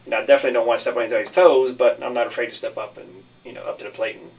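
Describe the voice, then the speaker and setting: Man chatting, evenly pitched, distant mic. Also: steady tone, racing, creaky. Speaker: film director. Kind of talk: casual interview answers.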